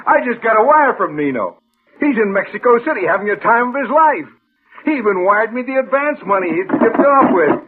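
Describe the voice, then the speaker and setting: An actor playing a brisk, skeptical theater boss with a husky voice and colloquial delivery in a 1960s radio drama. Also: male voice, sits high, animated. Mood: amused, dismissive. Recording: muffled old radio recording.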